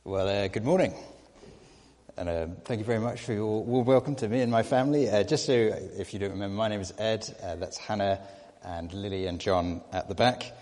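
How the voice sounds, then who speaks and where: A man's voice: on the low side, fairly quick, darkish tone, long reverb tail. A young man giving a sermon as a trainee preacher, hesitant, with many fillers and restarts. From Scotland. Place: church.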